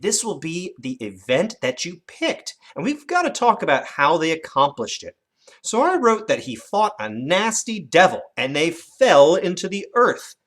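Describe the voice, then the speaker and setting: Animated teacher with a very lively pitch. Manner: animated, expressive storytelling emphasis. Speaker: elementary teacher. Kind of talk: instructional video lesson for children.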